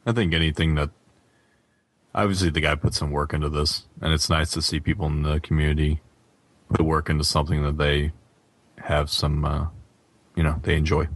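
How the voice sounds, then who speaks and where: A man co-hosting a gaming podcast, giving casual, low-key opinions. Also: level tone, moderate pace, pauses often, no room sound. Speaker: podcast co-host.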